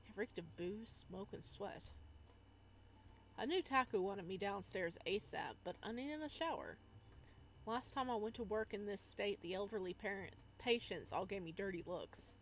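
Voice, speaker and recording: A woman's voice: mid-range voice, normal speed. Playful woman streamer, noisy background. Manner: playful, teasing asides. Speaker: streamer reading and reacting to a visual novel. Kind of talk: casual playthrough commentary mixed with read-aloud narration. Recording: noticeable background noise.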